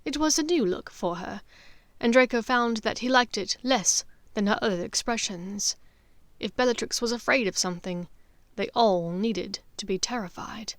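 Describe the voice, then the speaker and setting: A woman narrating a fiction audiobook with dramatic, deliberate storytelling. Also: fairly high pitch, no room sound.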